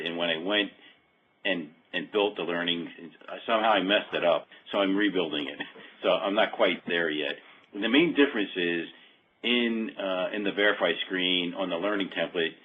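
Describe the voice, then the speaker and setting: A man presenting an informal technical walkthrough on a conference call, explaining in a hesitant, halting way and correcting himself as he goes. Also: deep voice, slight background noise. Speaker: software demo presenter. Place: remote web conference call. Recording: muffled phone-like conference line.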